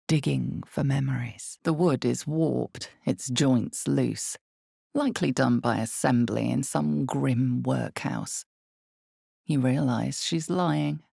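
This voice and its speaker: An all-knowing female storyteller of a dark fantasy video game, her voice extremely low and thick with gravelly fry. She speaks slowly and deliberately, with hushed, portentous weight on each phrase. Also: no echo, clean studio sound, heavily acted. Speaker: game narrator. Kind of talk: dramatic second-person fantasy game narration.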